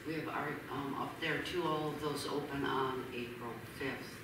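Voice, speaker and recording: A woman's voice: extremely low pitch, steady tone, moderate pace. A middle-aged woman curator introducing an artist at a gallery, with many fillers as she reads a bio aloud. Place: large gallery hall, indoors. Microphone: handheld mic heard from far off. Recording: echoey hall recorded from far off, background noise.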